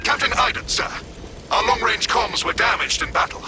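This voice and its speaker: Game role: strained captain on comms, urgent clipped calls, very high pitch, loud score behind. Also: male voice, lively intonation, moderate pace. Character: strained army captain calling over comms. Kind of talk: dramatic video game acting. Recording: loud music over the dialogue.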